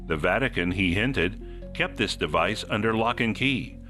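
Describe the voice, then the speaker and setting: A man's voice: fairly low pitch, expressive, measured pace, sharp tone, faint music behind. A man hosting a podcast, reading scripted mystery narration in a suspenseful, theatrical manner. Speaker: podcast host.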